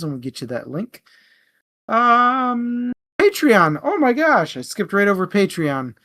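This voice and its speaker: A man with a fairly high, very bright and forward voice co-hosts a book show. He talks in a casual, informal style, jokey and loose with his language. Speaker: co-host of a book discussion show. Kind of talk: casual book chat with plugs.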